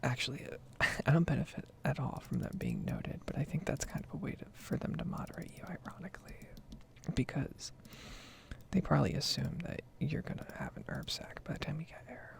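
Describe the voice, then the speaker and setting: A woman speaking in a soft, breathy whisper, rambling casually in an ASMR style as she comments on her own gameplay. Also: low-pitched, wildly swooping pitch, a little fast. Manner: casual, meandering. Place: basement at home.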